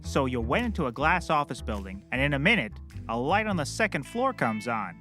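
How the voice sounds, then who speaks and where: A man's voice: mid-range voice, sing-song, brisk, sharp tone, faint music behind. A man playing a cheerfully clueless, rambling private eye who cracks corny jokes in a scripted comedy. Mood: eager, rambling. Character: bumbling, chirpy, oblivious male puppet-world private eye.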